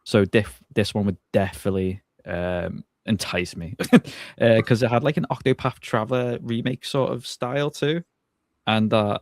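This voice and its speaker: A man in his thirties speaks as a guest in a casual gaming chat. His voice is fairly low, and it is recorded dry with no room around it. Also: steady tone, normal speed, no echo. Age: 30s. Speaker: podcast guest. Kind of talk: casual chat about games.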